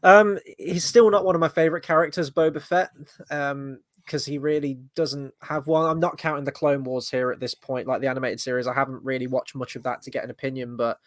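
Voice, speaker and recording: A man's voice: mid-range voice, fairly even intonation, no echo. Thoughtful young man, dry close sound. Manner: measured, hesitant, thoughtful. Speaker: co-host. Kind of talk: casual chat.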